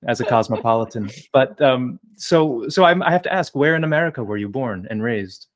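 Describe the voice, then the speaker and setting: A man's voice: medium pitch, lively intonation. Formal-sounding interviewer man. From New Jersey. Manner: measured, wordy, formal phrasing. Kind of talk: casual interview conversation.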